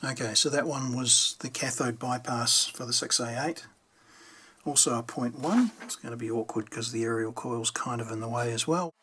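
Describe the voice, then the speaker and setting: A man's voice: medium pitch, moderate pace, bright, forceful tone, slight background noise. A man giving casual hands-on repair commentary, thinking aloud as he works. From New Zealand. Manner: unscripted, thinking aloud while working. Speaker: hobbyist radio repairer presenting a how-to video.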